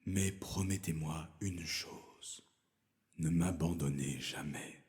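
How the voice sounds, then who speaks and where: Man voicing a deep, hushed, sorrowful beast. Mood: resigned, sorrowful. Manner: formal, slow, hushed. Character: sad, gentle male beast, courteous and resigned.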